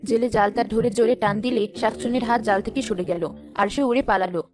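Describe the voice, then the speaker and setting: A woman's voice: on the high side, fast, music in the background. A woman narrating a scripted story.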